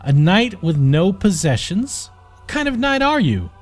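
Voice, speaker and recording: Bandit captain, fantasy audiobook: cruel, hot-tempered bully, raised pitch, sneering, stretches words for menace. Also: male voice, big pitch swings, soft background music, exaggerated voice. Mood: mocking, bossy. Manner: sneering, shouting, exasperated, drawn-out emphasis.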